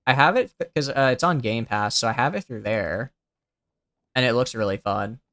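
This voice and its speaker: A young male streamer with a smooth, clean voice that sounds youthful, recorded close and dry with no room sound. He rambles in relaxed commentary, thinking aloud with plenty of fillers and restarts. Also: mid-range voice, moderate pace, no echo. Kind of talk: casual gameplay commentary.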